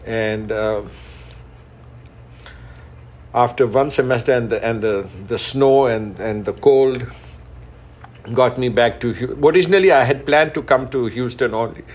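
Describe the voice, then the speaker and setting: A man's voice: mid-range voice, normal speed. Man, middle-aged, from Pakistan and India, chef and restaurant owner, interview guest, enthusiastic. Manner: enthusiastic, repeats words while listing.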